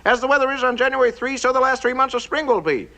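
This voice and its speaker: A man voicing an excitable cartoon weather forecaster who rattles off rhyming predictions in a sing-song way, with faint noise behind the voice. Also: very high voice, quick pace, full character voice. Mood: excited, rattling off. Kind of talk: cartoon character recitation.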